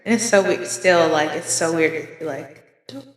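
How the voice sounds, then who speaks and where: A woman's voice: low voice, moderate pace. A woman in her twenties from New York, raised in North Carolina, co-hosting a podcast with loose, candid chatter and lots of fillers. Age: 20s. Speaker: podcast co-host. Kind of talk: casual chat. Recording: echoey room with long reverb.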